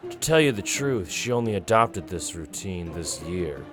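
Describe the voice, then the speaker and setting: Man as calm, deep-voiced clan member. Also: normal speed, music in the background. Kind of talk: conversational animated visual novel acting.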